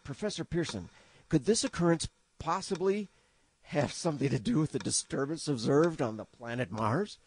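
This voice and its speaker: A man playing an excitable, courteous 1930s radio news reporter with formal announcer diction that gets flustered. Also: a little high, normal speed, a little hiss or hum. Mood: formal, composed. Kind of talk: 1930s radio drama acting.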